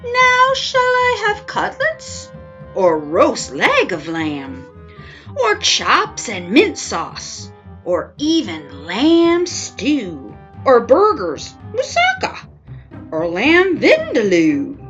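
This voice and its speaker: A woman's voice: high-pitched, wildly swooping pitch, drawn-out words, a bit bright, lo-fi sound, soft background music, heavily acted. A woman voicing a wily, boastful male wolf in a children's storybook, smug and theatrical, relishing each word.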